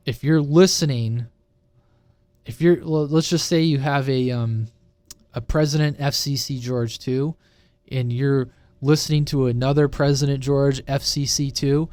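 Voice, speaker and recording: A man's voice: medium pitch, darkish tone, no room sound. Casual man explaining tech, dry close sound. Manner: informal, conversational, checks in with listeners with "right?" and "okay?". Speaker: presenter of a CB radio explainer channel. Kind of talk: casual, unscripted technical explainer.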